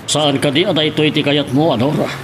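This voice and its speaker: A middle-aged man playing a gentle, earnest father in an Ilocano radio drama. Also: fairly high pitch, steady tone, brisk, background noise, close to an everyday voice.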